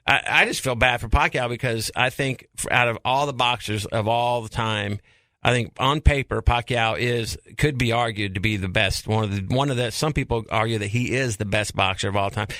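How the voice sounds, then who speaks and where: A man's voice: medium pitch, fairly quick, pushed and bright, dry recording. A man, a comedian guest, talking casually in a studio and rambling with repeated words. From born in New York, raised in Alabama. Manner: rambling, repeats words, breaks into comic voices. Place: radio studio.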